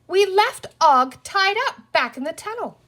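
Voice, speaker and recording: A woman's voice: extremely high pitch, lively intonation, measured pace, fairly bright tone, voice pushed up, full character voice. A woman voicing a brave, cross young girl in a storybook, exclaiming indignantly. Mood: cross, fearful.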